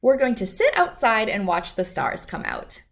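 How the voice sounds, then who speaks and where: A firm mother bear from a children's storybook, voiced by a woman reader. She is matter-of-fact and unflappable. Her pitch moves in lively swings as she lays down the rules. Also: normal speed, vocal fry, exaggerated voice.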